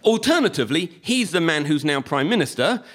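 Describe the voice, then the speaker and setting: A man with a Liverpool accent performing a stand-up comedy monologue with a wry, deadpan delivery. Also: on the high side, fairly quick. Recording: live recording with audience noise.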